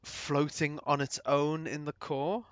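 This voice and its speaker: A man streaming a video game and chatting with viewers, thinking aloud with lots of ums, his voice gravelly from a recent cold. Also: a little high, level tone, slow pace, dry recording. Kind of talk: live gameplay commentary, chatting with viewers. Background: game music.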